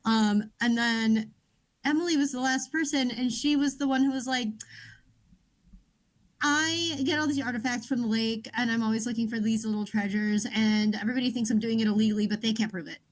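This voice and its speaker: A woman's voice: a little high, fairly even intonation, fairly quick. A woman with a Chicago American accent chatting casually as a podcast co-host. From Chicago area, United States. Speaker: book club podcast co-host. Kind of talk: casual chat.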